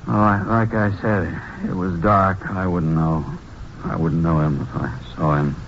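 An actor playing a weary, wounded male crime victim, gravelly and halting, in a radio drama. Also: deep voice, steady tone. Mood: weary, pained. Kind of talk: 1950s western radio drama acting. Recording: muffled, hissy 1950s radio recording.

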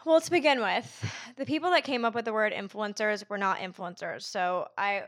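A woman's voice: mid-range voice, moderate pace, a bit bright, no room sound. A woman in her twenties talking as a podcast guest, chatty and casual, filling her speech with "like". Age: 20s. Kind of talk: casual conversational interview.

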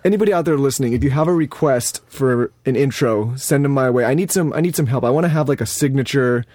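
A man's voice: mid-range voice, fast, a little mellow, dry recording, studio quality. Upbeat teasing man co-host. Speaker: podcast co-host. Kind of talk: casual banter with his wife.

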